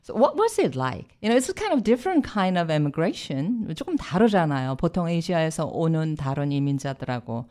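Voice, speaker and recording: Fast-talking low-voiced woman radio host. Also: big pitch swings, a little mellow. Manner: restates each answer in a second language, prompting. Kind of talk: bilingual radio interview. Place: radio studio.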